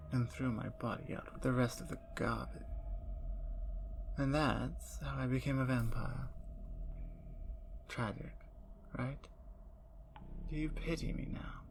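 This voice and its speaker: A woman plays a captured female vampire in an ASMR roleplay, playful and menacing. She taunts and teases in soft whispers, with a gravelly edge and an unhurried pace. A faint hiss sits under the recording. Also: rumbling low, full character voice. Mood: teasing. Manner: teasing, taunting.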